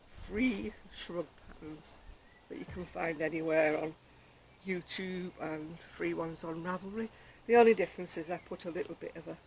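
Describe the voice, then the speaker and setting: An older woman vlogging about her crochet, chatting casually on an outdoor deck in a quiet, soft-spoken, rambling way. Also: fairly low pitch, a little hiss or hum, poor audio. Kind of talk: casual chat to camera. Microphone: external camera microphone.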